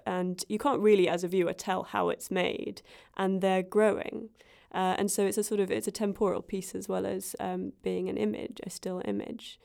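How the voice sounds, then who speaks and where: A woman's voice: medium pitch, vocal fry, warm tone. A woman hosting an interview, asking long, rambling questions with frequent fillers. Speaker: interviewer host. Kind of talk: interview conversation.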